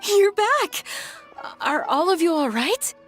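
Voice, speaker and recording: A woman's voice: very high voice, sing-song, slow, exaggerated voice. A woman playing a tender-hearted, refined young lady in a video game, with a polite, caring and emotive delivery. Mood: concerned. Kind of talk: dramatic video game voice acting. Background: music.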